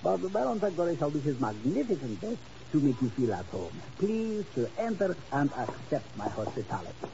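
Flamboyant, flattering European baron, wildly animated. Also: male voice, fairly high pitch, a little fast, gravelly, hardly any pauses. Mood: effusive. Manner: florid, flattering, elaborately courteous. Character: suave, flowery, theatrically gallant European baron and rogue. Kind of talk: 1950s radio drama acting. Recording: noisy, muffled old radio recording.

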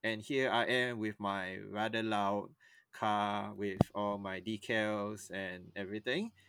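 A man's voice: lowish voice, steady tone, slow, a bit bright, clean tone. A young man, chatting on a podcast and telling a long personal story that rambles, stops and restarts mid-sentence. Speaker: podcast co-host. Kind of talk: casual storytelling chat.

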